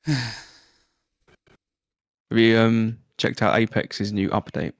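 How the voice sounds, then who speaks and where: A man's voice: fairly low pitch, steady tone, slow, gravelly, no room sound, clean studio sound. A man co-hosting a live gaming stream in casual conversation.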